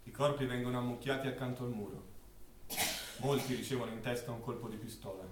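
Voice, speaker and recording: Mid-pitched man narrating in echoey space. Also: steady tone, quick pace. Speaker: stage actor narrating. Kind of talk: dramatic scripted narration. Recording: echoey, distant, clearly noisy stage recording.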